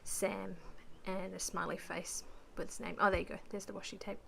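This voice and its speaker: A woman with a croaky voice chatting casually through a voice-over about her crafting, rambling with lots of ums. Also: medium pitch, little pitch movement, moderate pace, fairly bright tone, slight background noise. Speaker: scrapbooking vlogger.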